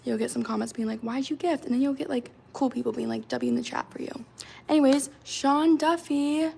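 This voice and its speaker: A raspy, gravelly-voiced woman in her twenties, a bit hoarse, chatting casually through a livestream. She talks a little higher than most women and peppers everything with "like". Faint background noise sits under her voice. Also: a little fast, a little mellow. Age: 20s. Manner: chatty, lots of fillers like "like". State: slightly hoarse.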